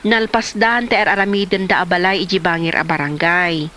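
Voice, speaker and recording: A woman's voice: lowish voice, fairly even intonation, fairly quick, pushed and bright, a little hiss or hum. A woman acting a worn-down, thrifty wife of a gambler in an Ilocano drama. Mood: weary, pleading. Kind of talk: Ilocano comedy drama dialogue.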